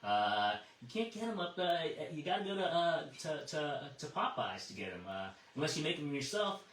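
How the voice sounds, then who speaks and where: Halting American radio operator, echoey and distant. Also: male voice, on the high side, sing-song, fairly bright tone, slight background noise. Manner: halting, repeats words while thinking. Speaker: ham radio net control operator. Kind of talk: casual on-air radio net hosting. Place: over amateur radio repeater.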